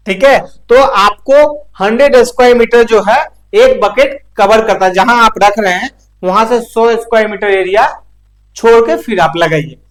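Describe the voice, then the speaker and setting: A man's voice: sits high, rough recording. A male instructor talks through practical technical material in an informal way. He explains things step by step and often adds short check-in tags to keep the learner following.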